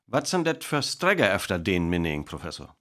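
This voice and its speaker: A man with a North Frisian accent playing an earnest live radio reporter in a radio play, announcing formally and deliberately. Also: low-pitched, huge pitch swings, a bit bright, heavily acted. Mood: earnest, attentive.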